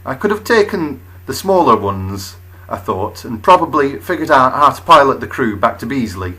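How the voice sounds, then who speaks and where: A man narrating a comic first-person story as a storyteller, expressive, with wry, deadpan understatement. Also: medium pitch, moderate pace.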